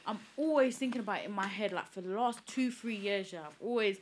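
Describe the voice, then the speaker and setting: A young woman from East London with a deep, husky voice and an East London accent, talking frankly as a podcast guest and swearing casually. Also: fast, slight background noise. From East London, England. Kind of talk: casual chat.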